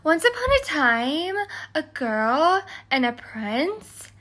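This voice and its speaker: A woman voicing a dreamy, chatty young girl from a children's storybook, in an airy, drawn-out, sing-song way. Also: high-pitched, very animated, sharp tone, pitched above the performer's own voice, exaggerated voice. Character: dreamy, chatty young Cinderella spinning animal tales.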